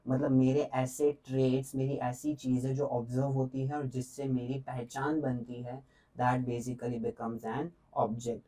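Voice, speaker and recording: Unhurried young male teacher, mellow and flat delivery, a little off-mic; repeats key points and checks in. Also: mid-range voice, far from the mic, rough recording. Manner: explains in mixed Hindi and English, repeats points, checks in often. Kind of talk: exam revision lecture.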